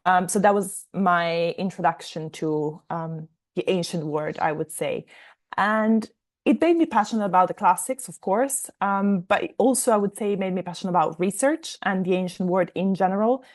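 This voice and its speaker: A young woman who grew up in Italy and speaks Italian as her first language, with a slightly low voice. She talks as an author being interviewed, thinking aloud and explaining her points in a conversational way. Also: normal speed. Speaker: interview guest, novelist.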